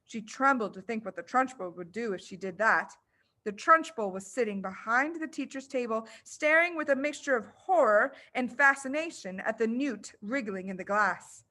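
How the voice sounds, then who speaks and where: A woman narrates a children's book in a bright, fairly high voice. She reads dramatically, placing her phrases deliberately to build suspense. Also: lively intonation. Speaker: audiobook reader.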